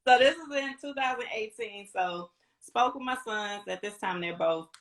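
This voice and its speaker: A woman's voice: fairly high pitch, moderate pace, noisy background. A middle-aged American woman from Texas talking casually as an interview guest, retelling her story informally with quoted bits of dialogue. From Houston, Texas, United States. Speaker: interview guest, radio promotions representative.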